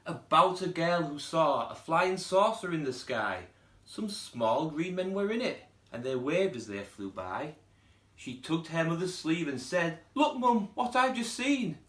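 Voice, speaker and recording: A man's voice: a little high, unhurried, echoey room. A man, a primary school teacher reading a children's storybook aloud expressively. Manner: expressive, putting on character voices.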